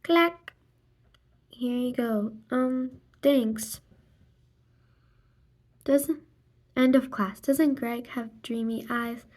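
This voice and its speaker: Lady doing a kids book read aloud, kinda high voice, slow, acts out the lines and sound effects. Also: darkish tone. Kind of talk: expressive read-aloud of a children's graphic novel, voicing dialogue and sound effects.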